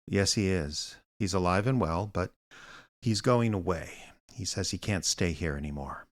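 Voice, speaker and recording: An actor playing an older, wry private eye with a dry, sardonic, kindly manner in a radio drama. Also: male voice, deep voice, moderate pace, natural voice. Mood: gentle, earnest. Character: aging wry small-town private eye, gruff but kindly. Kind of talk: radio drama acting with narration.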